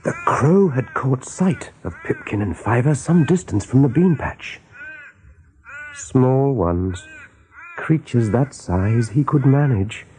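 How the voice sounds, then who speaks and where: A man narrating a radio play as a dramatic storyteller, with a mellow, husky voice. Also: medium pitch, animated, takes it slowly.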